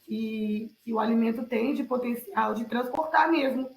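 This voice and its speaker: Woman, creaky voice, casual reflective sharing, echoey video call. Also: fairly high pitch, moderate pace. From Minas Gerais, Brazil. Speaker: participant in an online cultural gathering. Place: online video call, roomy indoor space. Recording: roomy echo on a video call.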